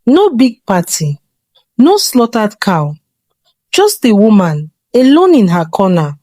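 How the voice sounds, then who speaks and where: A woman narrating a scripted folktale in a measured, dramatic storytelling manner. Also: mid-range voice, very animated, mellow, no echo, clean studio sound.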